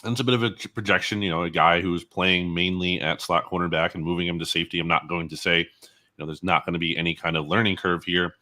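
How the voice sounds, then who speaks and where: A man's voice: sits low, fairly even intonation, fast, pushed and bright. A man giving analysis as a guest on a sports interview show.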